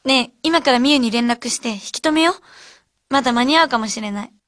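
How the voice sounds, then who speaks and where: An actress playing an impulsive, emotional and loyal high school girl, pleading insistently in a radio drama. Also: brisk, a bit bright, no echo, studio quality, natural voice.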